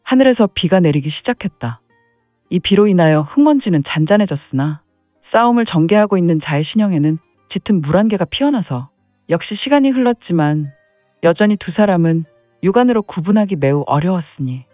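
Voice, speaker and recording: A man with a fairly high voice narrates a martial-arts serial in a dramatic storyteller's manner, sprinkling in "heh heh" interjections. The audio is thin and phone-like and very dry. Faint music plays underneath. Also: animated, fairly quick, dry recording.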